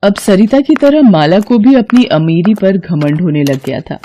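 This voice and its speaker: A woman giving expressive scripted narration of a children's moral story. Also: medium pitch, normal speed, slight background noise.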